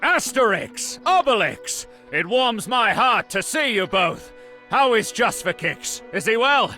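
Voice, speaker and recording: A man voicing an earnest, excitable townsman in a cartoon game. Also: high-pitched, slow, soft background music, heavily acted. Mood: heartfelt. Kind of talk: animated cartoon game voice acting.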